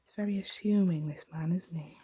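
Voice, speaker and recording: A woman gently reading a bedtime story in an unhurried, soft, whispery ASMR style. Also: lowish voice, slight background noise. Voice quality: breathy, whispery.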